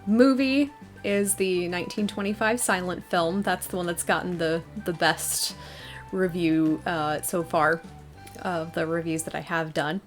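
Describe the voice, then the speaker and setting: A woman's voice: lowish voice, steady tone, measured pace, faint music behind. A young adult woman hosting a casual question-and-answer video, talking in a thoughtful, hesitant, rambling way. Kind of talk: casual fan Q&A talk.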